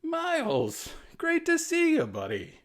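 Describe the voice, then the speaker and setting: An American man voicing an excitable, friendly superhero from a children's storybook. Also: very high-pitched, slow pace, pitched above the performer's own voice, full character voice. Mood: friendly, woozy.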